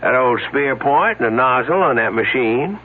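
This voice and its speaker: An actor playing a plain-spoken, folksy sheriff with a gravelly voice in a radio drama. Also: male voice, mid-range voice, expressive, moderate pace, lightly acted. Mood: matter-of-fact. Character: folksy, plain-spoken male sheriff, cheerfully matter-of-fact. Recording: muffled old radio broadcast.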